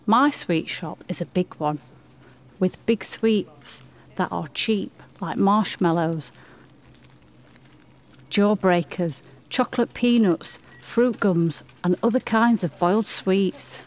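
A woman's voice: mid-range voice, slow. A woman reading scripted storytelling narration for an amateur radio play.

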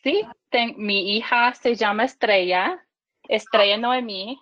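Michigan woman, english native, slow halting spanish, dry dull sound. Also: fairly high pitch, a bit bright, no room sound. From Michigan, USA. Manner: halting learner's Spanish, restarts and fillers. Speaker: podcast guest.

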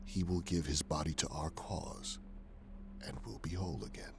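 An actor playing a ruthless traitor commander who gives measured, menacing orders in an audio drama. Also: male voice, very deep voice, steady tone, darkish tone, whispered. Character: ruthless male traitor commander, cold schemer. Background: music.

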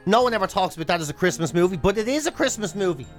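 A man's voice: sits high, expressive, racing, soft background music. A man with an Irish accent co-hosting a radio show in casual, insistently comic banter. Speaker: radio co-host.

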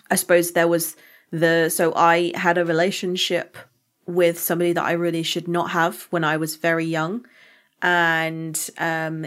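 A young British woman with a lowish voice talks openly in a relaxed podcast chat. She tells personal stories with restarts and self-corrections, and her voice is going a little hoarse. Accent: British English. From United Kingdom. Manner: candid, reflective storytelling with frequent restarts. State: losing her voice. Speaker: podcast co-host. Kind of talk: casual chat.

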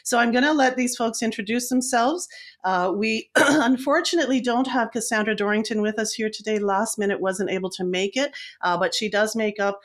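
A woman's voice: fairly high pitch, fairly quick. Chatty woman podcast host. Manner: chatty, stumbling over words and correcting herself. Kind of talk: podcast interview.

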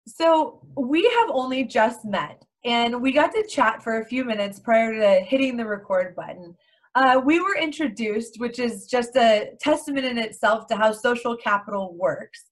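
Excited woman podcast host, higher voice, gushing about her guest. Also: normal speed. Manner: enthusiastic, effusive. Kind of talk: upbeat interview hosting.

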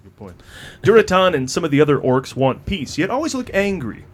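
Lively joking male film reviewer. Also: a little high, fairly quick. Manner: joking, sets up punchlines. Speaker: podcast co-host, film reviewer. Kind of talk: casual film review chat.

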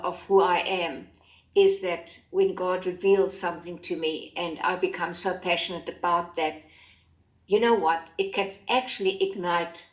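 A woman with a lower voice speaks earnestly about her faith, stopping often on fillers. Her voice comes through a roomy, echoing space. The sound is dull and muffled. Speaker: guest co-host. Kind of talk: casual faith discussion.

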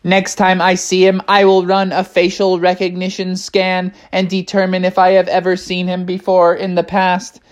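A woman's voice: on the low side, monotone, normal speed, few pauses, lightly acted. A woman voicing a literal-minded robot bear in a children's story, announcing things in a stilted, formal way.

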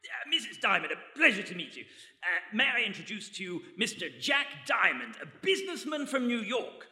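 A man's voice: sits high, big pitch swings, brisk, sharp tone, exaggerated voice. A man playing a blustering, jovial, rambling ship's captain in a comic radio play, with hesitant, fussy stammering. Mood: flustered politeness. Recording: echoey hall, live stage recording.

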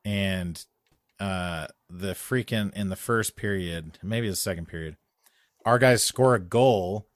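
A man in casual chat, telling a long story that gets louder and more animated as it goes. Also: low voice, slow pace, dry recording, studio quality. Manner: animated storytelling, rising loud when excited.

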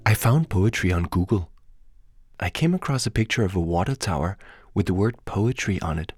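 Danish-born man, deep voice, calm documentary narrator over music. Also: normal speed, husky. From Denmark. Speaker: radio documentary maker.

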